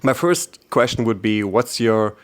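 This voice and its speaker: Smooth low-voiced German man hosting. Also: animated, a little slow. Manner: hesitant, searching for words with many fillers. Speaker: podcast host. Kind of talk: casual interview.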